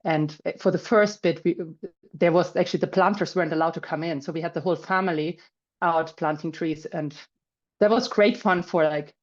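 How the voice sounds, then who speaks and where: Middle-aged German-accented woman, low voice, chatty webinar speaker, muffled call audio. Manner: chatty, many fillers and restarts. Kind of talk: casual presentation. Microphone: video call.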